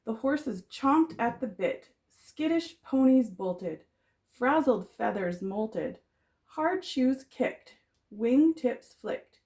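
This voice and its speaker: A woman reads a picture book aloud like a kindergarten teacher. Her voice is fairly high and swings widely in pitch. She takes it slowly and stretches rhyming words with dramatic expression. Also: lively intonation, darkish tone. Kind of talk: children's storybook read-aloud.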